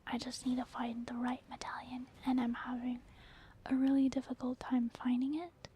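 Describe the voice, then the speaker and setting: A woman whispering softly and breathily in a casual, rambling ASMR commentary over video gameplay. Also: high-pitched, little pitch movement, normal speed, a little hiss or hum. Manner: casual, rambling, gentle explaining. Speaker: gaming ASMR video host.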